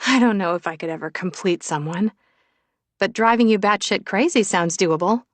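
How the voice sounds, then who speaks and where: A woman's voice: medium pitch, moderate pace, no echo, lightly acted. A woman voicing a young pregnant wife in an audiobook, sardonic but heartfelt and emotional. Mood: teasing, insistent.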